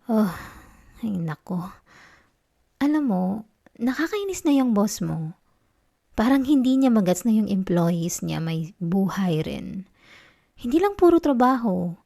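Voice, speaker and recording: A woman acts out an affectionate, sulky Filipino wife in an intimate ASMR roleplay. Her voice is fairly high, soft and mellow. She takes her time, coaxing and teasing with a tender pout. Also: expressive, unhurried, gravelly, long pauses, full character voice. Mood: tender, mildly annoyed. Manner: soft, coaxing, pouty, slipping into whispers.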